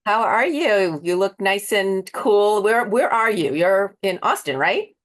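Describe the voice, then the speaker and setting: A woman's voice: medium pitch, lively intonation, unhurried. An American woman hosting a conversational interview in a chatty, rambling way. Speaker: interview host.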